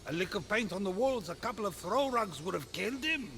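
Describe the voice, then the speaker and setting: 높은 목소리의 거들먹거리는 빈정대는 신. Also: male voice, sits high, animated, brisk, fairly bright tone. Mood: mocking. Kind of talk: video game cutscene voice acting. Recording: clear ambient noise behind voice.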